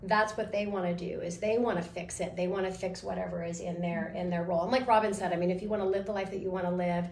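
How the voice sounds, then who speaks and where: A woman's voice: lowish voice, steady tone, quick pace, hardly any pauses, darkish tone. A woman hosting a casual live interview show for real estate agents, talking in a coaching, encouraging way with long rambling sentences. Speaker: talk show host. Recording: echoey room with faint noise.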